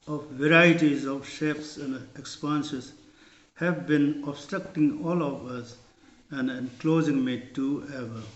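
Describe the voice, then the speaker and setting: Poet, male; slow measured recitation in a roomy, dull lo-fi recording. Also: a little high. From Manipur, India. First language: Manipuri. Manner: deliberate, repeats each stanza twice. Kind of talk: poetry recitation.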